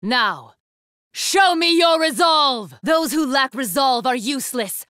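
Imperious female anime game warrior, bright sharp tone, dry studio sound, ruthless, formal, declamatory. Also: high voice, expressive, slow pace, dry recording, exaggerated voice. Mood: furious, defiant. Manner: formal, haughty, declamatory delivery. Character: imperious, ruthless young woman warrior, commanding and disdainful. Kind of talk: anime fighting game voice lines.

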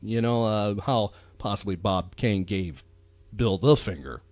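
A man's voice: lowish voice, a little slow, a little mellow, no room sound. A man hosting a chatty pop culture podcast, talking with enthusiasm and emphasis, often repeating phrases for effect. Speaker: podcast host.

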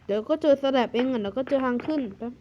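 High-voiced playful cartoon narrator woman. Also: rapid-fire, dull audio, a little hiss or hum. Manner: lively, switches between characters' lines.

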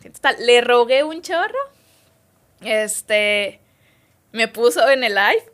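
Woman guest, slightly high voice, lively anecdote-teller. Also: slow. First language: Spanish. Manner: lively storytelling, quotes others' words. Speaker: interview guest. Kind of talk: casual interview chat.

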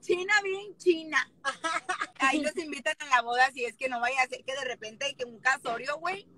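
A woman's voice: high voice, moderate pace, bright, forceful tone, rough recording. A woman, chatting and teasing on a live video call from a car, with her phone at arm's length. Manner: playful, slangy, teasing. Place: inside a car. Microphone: phone held at arm's length.

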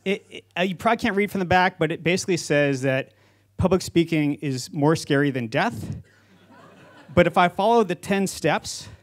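A man in his forties speaks in a fairly high voice, presenting a prepared talk to an audience. He is a company founder on an auditorium stage, using a handheld microphone. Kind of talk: prepared conference speech to an audience.

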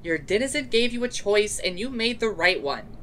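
A woman's voice: mid-range voice, very animated, moderate pace, fairly bright tone, a little hiss or hum. A woman playing a caring but hurt, blunt young woman in a fan dub drama, speaking directly and earnestly. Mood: earnest, reassuring.

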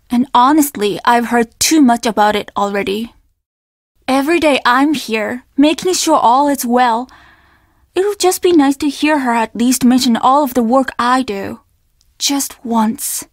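A woman's voice: high-pitched, no echo, studio quality. A woman voicing an earnest, apologetic young innkeeper at a Japanese inn in a video game, speaking with formal politeness and an undertone of trouble. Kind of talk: video game character dialogue.

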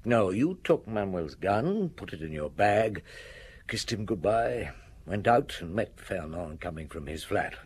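A man playing a weary, shrewd middle-aged police detective chief in a radio drama, grave and measured. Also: sits low, very animated, slight background noise. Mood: accusing.

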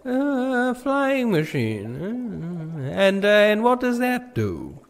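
A man's voice: sits high, wildly swooping pitch, slow, heavily acted. An actor playing a bumbling king in a comic audio drama, hesitant, stammering and blustery. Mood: sceptical, blustery. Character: bumbling, dithering, sceptical old-fashioned king.

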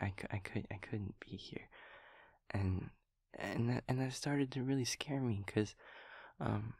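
Audio roleplay, male actor as scatterbrained young male empathy daemon, guileless and sweet, halting delivery with gravelly fry, very dry close sound. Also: on the low side, a little mellow, no echo. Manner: stammering, repeating words, breaking into giggles. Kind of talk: intimate audio roleplay monologue.

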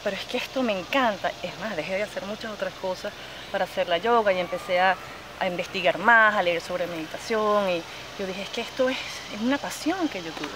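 A woman in her thirties with a Venezuelan Spanish accent, a yoga teacher, talks with lively gestures and frequent restarts. She is recorded outdoors by a park lake on a camera mic. Steady outdoor noise sits clearly behind her medium-pitched voice. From Chile. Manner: animated, gestures with her hands, many restarts. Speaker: yoga instructor. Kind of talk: outdoor interview.

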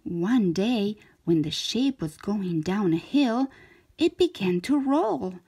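Woman, storybook narrator, unhurried, very animated pitch, expressive, dramatic phrasing for children. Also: husky. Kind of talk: expressive scripted read-aloud narration.

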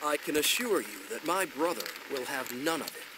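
An actor voicing a stern, haughty elf lord in a video game, formal, authoritative and disdainful. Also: male voice, a little high, animated, normal speed, noisy background, lightly acted. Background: loud music.

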